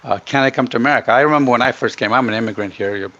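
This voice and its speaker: A middle-aged man from Ghana giving earnest, opinionated interview answers, emphatic and halting as he restarts his sentences. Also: medium pitch, pushed and bright. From Ghana, grown up in Africa and the Middle East. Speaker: podcast guest. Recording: dull, muffled lo-fi connection.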